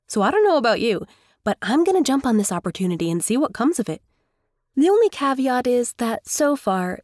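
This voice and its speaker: A woman's voice: medium pitch, no room sound, clean studio sound. A woman narrating a scripted tutorial in a conversational, enthusiastic way as its host. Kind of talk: scripted tutorial narration over screen recording.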